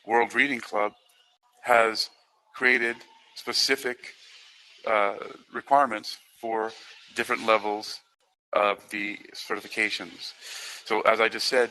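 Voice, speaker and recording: A man hosting an informal explanatory talk, speaking in a halting, rambling way and often repeating himself. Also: fairly low pitch, sharp tone, low-quality recording. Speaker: host presenting a literary certification program.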